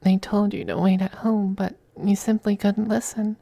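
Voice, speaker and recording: Male actor as femboy vampire, possessive and doting, mellow breathy half-whisper, very unhurried, close dry ASMR sound. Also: high-pitched, level tone, dry recording. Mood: menacing, wistful. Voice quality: soft, breathy, often whispering. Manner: slow, coaxing, condescendingly affectionate. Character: possessive, teasing, doting femboy vampire master styled as mistress. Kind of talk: intimate ASMR roleplay.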